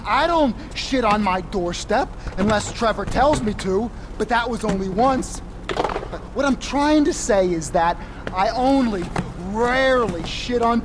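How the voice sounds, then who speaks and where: A man's voice: very high-pitched, background noise. An actor voicing a jittery, rambling male drug-dealer accomplice who wisecracks nervously in a game cutscene. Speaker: nervous accomplice in a crime crew.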